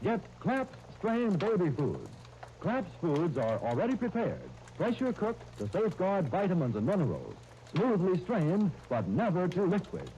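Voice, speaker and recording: A man's voice: high-pitched, huge pitch swings, a little slow, warm tone. A man reading a scripted 1930s radio commercial as an announcer, on a dull, faintly noisy old recording.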